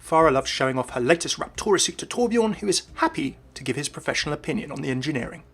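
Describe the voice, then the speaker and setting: Fast-talking man, game commentary. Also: on the high side, expressive, fairly bright tone, breathy edge, faint music behind. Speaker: YouTube game lore presenter. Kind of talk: scripted video commentary. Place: voice-over with faint music behind.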